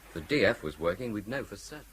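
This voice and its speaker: An actor playing a practical, careful airliner crew officer in a radio drama, speaking in a matter-of-fact, technical way. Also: male voice, fairly low pitch, very animated, fast talker, a bit bright, husky, full character voice, rough recording. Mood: baffled.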